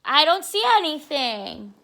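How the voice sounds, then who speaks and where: A boastful kid bully played by a woman reading a storybook, pitched high with a bright, forceful edge. The delivery is taunting and exaggerated. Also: sing-song, a little slow, gravelly, heavily acted. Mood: wary, eager.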